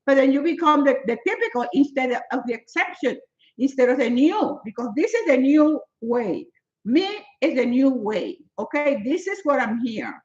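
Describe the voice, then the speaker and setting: A woman's voice: sits high, expressive, moderate pace. Emphatic woman in her seventies, lo-fi. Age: 70s. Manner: emphatic, repetitive, non-native phrasing. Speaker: fitness motivational speaker. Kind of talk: live-stream chat answering viewers.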